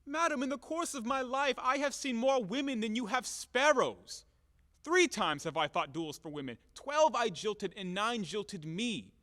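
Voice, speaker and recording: An actor playing a blustering, hot-tempered boor in an audio play, loud and declamatory, scornful and bombastic. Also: male voice, high-pitched, heavily acted. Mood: mocking. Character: blustering, hot-tempered, boorish man demanding repayment, cynical about women. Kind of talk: theatrical audio play acting. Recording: echoey hall-like reverb.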